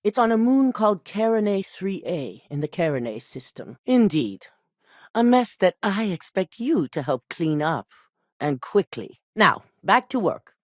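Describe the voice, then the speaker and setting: A woman's voice: mid-range voice, sing-song, a little slow, no echo, studio quality. An actress voicing a cold, ruthless woman corporate executive who gives clipped, commanding orders in video game dialogue.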